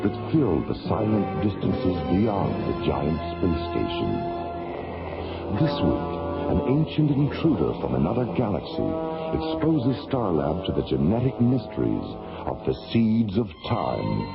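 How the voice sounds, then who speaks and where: A man's voice: deep voice, sing-song, a little slow, vocal fry. A man narrating a science fiction radio drama in a sweeping, dramatic announcer style. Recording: dull lo-fi old radio recording with loud music behind.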